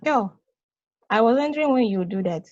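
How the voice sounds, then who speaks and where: A woman's voice: fairly high pitch, lively intonation, a little fast, darkish tone, dry recording, lo-fi sound. A woman playing a teasing, protective big-sister space probe in a casual, blunt, conversational table read. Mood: encouraging.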